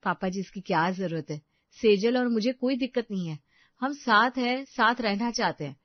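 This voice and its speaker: A woman's voice: medium pitch, expressive, moderate pace, no echo, lo-fi sound, lightly acted. A woman voicing a respectful, sincere elder daughter-in-law in a family story. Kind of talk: Hindi family story acting.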